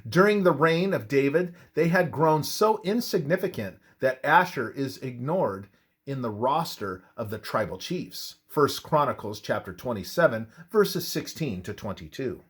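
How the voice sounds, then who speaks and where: A man narrates a religious text in a husky, mid-pitched voice. His pace is slow and measured, and his intonation moves widely up and down. The delivery is solemn and preacherly, clearly read from a script. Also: expressive.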